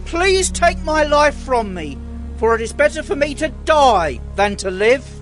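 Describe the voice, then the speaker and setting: Casting: male actor as distressed biblical prophet, very high voice, slow and impassioned, music behind. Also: sing-song, fairly bright tone, heavily acted. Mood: bitter pleading. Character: Jonah, anguished male Hebrew prophet praying in distress. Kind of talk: dramatized scripture reading. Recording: music under the voice.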